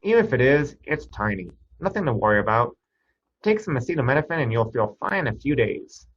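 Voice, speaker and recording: A man voicing a calm, dismissive old-school doctor with a soothing, condescending manner in a story reading. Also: medium pitch, fairly quick, husky, lo-fi sound, pitched above the performer's own voice. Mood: patronizing reassurance. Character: calm, dismissive older male doctor, patronizing.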